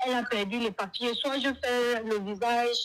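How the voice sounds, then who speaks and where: A woman from Gabon with a Gabonese French accent, explaining herself haltingly on a live call. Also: a little high, steady tone. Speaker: call-in guest telling her own situation. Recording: noisy live call with clicks.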